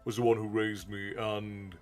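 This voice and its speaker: A man's voice: on the low side, flat delivery, moderate pace, faint music behind. A man in his forties from Ireland with an Americanized Southern Irish accent, chatting casually on a livestream and thanking viewers by name. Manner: folksy, thanks chat by name, calls viewers "love". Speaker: streamer and storyteller host.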